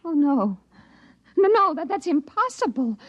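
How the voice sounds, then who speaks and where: An actress playing a brisk, snappy, easily flustered young woman inspector in a 1950s radio drama. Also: high-pitched, big pitch swings, no room sound. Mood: shocked, flustered. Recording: dull vintage radio recording.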